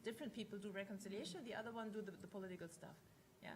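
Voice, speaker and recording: A woman's voice: medium pitch, fairly even intonation, very fast, a little hiss or hum. A woman speaking as a panelist in an academic discussion, reasoning things through analytically and checking in with short tag questions.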